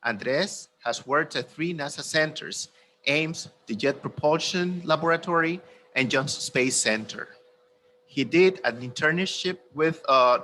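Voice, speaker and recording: A man's voice: medium pitch, pushed and bright, a little hiss or hum, low-quality recording. A man acting as host, reading a formal introduction of a guest speaker. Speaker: event host.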